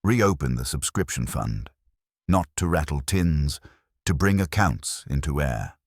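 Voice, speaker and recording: A British man voicing a calm, courteous police inspector in a mystery story, measured, formal and dryly authoritative. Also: very deep voice, no echo, clean studio sound, heavily acted. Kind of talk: storybook character dialogue in a mystery.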